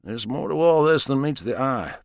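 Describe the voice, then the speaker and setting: A man's voice: mid-range voice, expressive, moderate pace, no room sound, clean studio sound, pitched above the performer's own voice, natural voice. A man voicing a determined police officer of thirty with a probing, insistent manner in an audiobook. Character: questioning, determined thirty-year-old male police officer.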